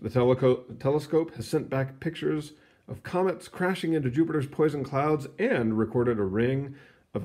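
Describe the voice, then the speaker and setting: A man reading a children's science book aloud in an expressive, explanatory storytelling manner. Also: mid-range voice, breathy edge. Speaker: read-aloud narrator.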